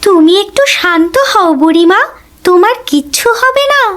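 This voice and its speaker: A woman's voice: extremely high pitch, expressive, normal speed, a bit bright. A woman playing a brave, devoted young village granddaughter in a fairy-tale cartoon, earnest and determined. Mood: worried, pleading.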